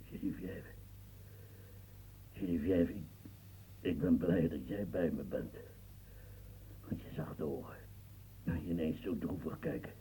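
A man's voice: deep voice, unhurried, long pauses, mellow, slight background noise. An actor playing an aging French statesman near death, feverish and rambling, stammering as he talks. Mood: dying whisper. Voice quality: gravelly, stammering. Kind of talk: radio play acting. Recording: tinny lo-fi old radio recording.

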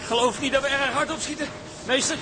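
Very high-voiced, plain-spoken deckhand. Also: male voice, steady tone, quick pace, background noise. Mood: grumbling. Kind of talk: radio drama acting.

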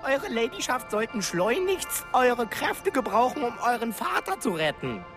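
A woman voicing a cheeky, impish little male magical servant in a radio drama, impudent and mock-courtly with a creaky voice. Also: on the high side, big pitch swings, measured pace, over music. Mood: impatient, exasperated. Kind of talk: German radio drama acting.

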